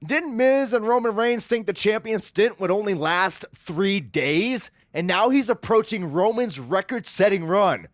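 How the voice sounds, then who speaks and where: A woman playing a matter-of-fact wrestling show co-host in a video game cutscene, speaking in a measured presenter's manner. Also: medium pitch, lively intonation, dry recording, clean studio sound, natural voice. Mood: presenterly.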